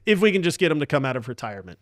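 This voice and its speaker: A man with a fairly high voice hosts a casual podcast. He talks enthusiastically and emphatically, addressing friends informally, with a dry, close sound. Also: very animated, very fast, no room sound. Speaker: podcast host.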